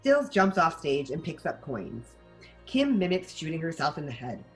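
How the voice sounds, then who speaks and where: A woman reading stage directions as scripted narration at a table read, in an animated manner. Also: deep voice, normal speed, soft background music.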